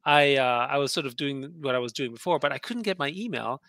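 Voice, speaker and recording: A man's voice: medium pitch, lively intonation, fairly quick, fairly bright tone, no echo, clean studio sound. A middle-aged man who grew up in Austria, with German as his first language, talking casually over a headset on a video call and rambling with frequent restarts. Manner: rambling, many restarts and "you know". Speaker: guest entrepreneur.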